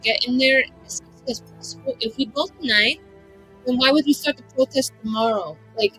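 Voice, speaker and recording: Casting: woman game player, fairly high voice, chatty casual brainstorming, faint music behind. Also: a bit bright, low-quality recording. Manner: chatty, peppers talk with "like" and quoted reactions. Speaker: player in a tabletop roleplaying game. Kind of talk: casual chat, thinking aloud.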